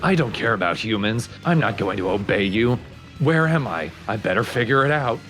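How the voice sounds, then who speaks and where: A man's voice: on the low side, animated, normal speed, over music. A man giving casual, analytical commentary as a let's play host.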